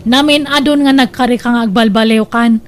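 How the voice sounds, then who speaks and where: A woman's voice: on the high side, steady tone, fairly quick, natural voice. An actress with an Ilocano Filipino accent playing a hurt, resolute wife reproaching her husband in a radio drama. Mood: hurt, angry. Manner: emotional, reproachful.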